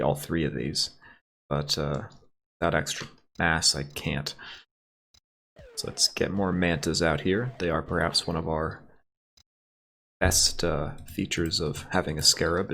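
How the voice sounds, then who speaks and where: A man hosting a let's play, chatting casually and reading the game's story text aloud, his voice a little raspy from congestion. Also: rumbling low, a little slow, long pauses, slight background noise. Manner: relaxed, thinking aloud while shopping for upgrades.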